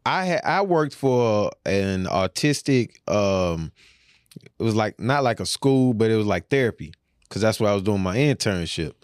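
Animated man in slangy podcast banter. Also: lowish voice, normal speed, clear, resonant tone, dry recording, clean studio sound. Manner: slangy, emphatic. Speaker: podcast co-host. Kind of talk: casual banter.

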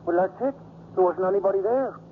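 High-voiced, hesitant groundskeeper, played by an actor. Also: male voice, fast talker, narrow, tinny audio, lightly acted. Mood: hesitant, earnest. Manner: hesitant, deferential. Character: plain, hesitant male ballpark groundskeeper giving testimony. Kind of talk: 1950s radio drama acting. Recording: thin, hissy 1950s radio recording.

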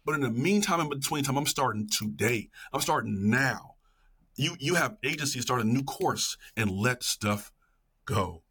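A man's voice: mid-range voice, animated, moderate pace, pushed and bright, husky. A middle-aged man talking casually and passionately as an interview guest, emphatic and repeating phrases for effect. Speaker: podcast guest, educator.

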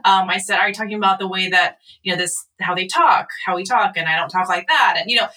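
La woman on a podcast, bright voice, kinda far off mic, thinking out loud. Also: medium pitch, fast. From Los Angeles, US. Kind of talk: casual podcast chat.

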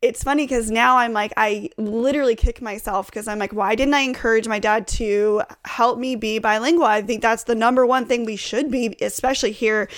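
A woman in her thirties hosts a casual interview in a somewhat high voice. She is chatty and enthusiastic, asking questions and sharing stories of her own. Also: fairly even intonation, normal speed, few pauses. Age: 30s. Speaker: podcast host.

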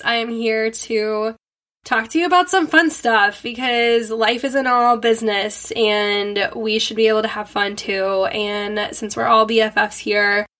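A woman's voice: medium pitch, fairly bright tone. Young female host, congested and nasal, bubbly casual talker. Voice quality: nasal, stuffy. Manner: bubbly, giggly, rambling with lots of "like". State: congested from a head cold. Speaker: podcast host. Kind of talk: casual chatty monologue.

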